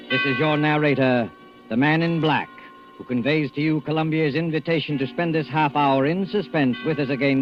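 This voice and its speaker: An actor playing a mysterious narrator, announcing in measured, formal 1940s radio style. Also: male voice, fairly high pitch, close to an everyday voice. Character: solemn, mysterious male host of suspense tales. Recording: muffled vintage radio with music.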